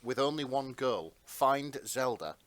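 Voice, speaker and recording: A man's voice: mid-range voice, animated, measured pace, a bit bright, no echo. A man narrating a story in a dramatic reading style for an amateur fan fiction performance. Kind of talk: dramatic narration and casual banter.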